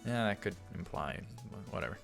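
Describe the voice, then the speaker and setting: A man with a low, gravelly voice reads a visual novel aloud as a let's play host. He drops casual commentary between the lines, with music clearly playing behind him. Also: lively intonation, brisk.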